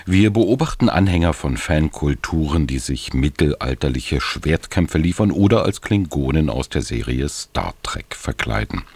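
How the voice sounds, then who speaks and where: A man with a gravelly voice reading a scripted programme preview as a radio announcer. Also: rumbling low, darkish tone, no echo.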